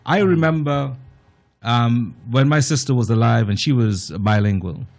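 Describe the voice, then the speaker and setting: A man's voice: medium pitch, moderate pace, creaky. A Bahamian man on a talk panel, arguing his points firmly and backing them with personal stories. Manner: emphatic, argues points with personal anecdotes. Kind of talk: casual panel discussion.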